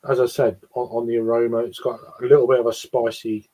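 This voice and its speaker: A man's voice: mid-range voice, level tone, fast talker, warm tone. A man reviewing beer in a casual chat, rambling with lots of restarts and fillers.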